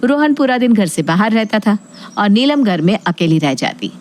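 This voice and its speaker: A woman's voice: mid-range voice, very animated, normal speed, darkish tone. A woman narrator reading a scripted domestic moral story.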